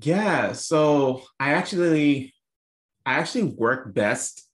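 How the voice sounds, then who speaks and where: A man's voice: on the high side, expressive, takes it slowly. A young adult man from the US Virgin Islands, answering interview questions thoughtfully with many fillers and restarts. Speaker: author guest.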